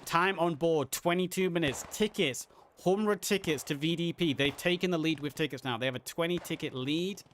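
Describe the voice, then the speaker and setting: A man casting a live game match, play-by-play with fillers, a little hoarse as he is losing his voice. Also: fairly high pitch, normal speed, slight background noise. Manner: excited play-by-play with frequent uh fillers. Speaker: esports caster. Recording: audible game gunfire and artillery behind. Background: game gunfire and artillery sounds.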